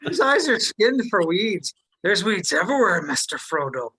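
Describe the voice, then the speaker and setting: An earnest, rustic hobbit servant, played by a woman in a fantasy script reading. The voice is rough-edged and animated, with a homely manner. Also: medium pitch, normal speed, full character voice. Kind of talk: table read of a fantasy script.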